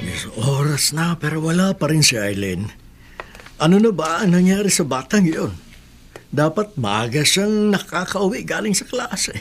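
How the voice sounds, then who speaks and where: Radio drama dad, Filipino, high-pitched, long-suffering, pleading and admonishing. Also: male voice, animated, unhurried, music in the background. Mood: worried. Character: worried, long-suffering working father of grown children.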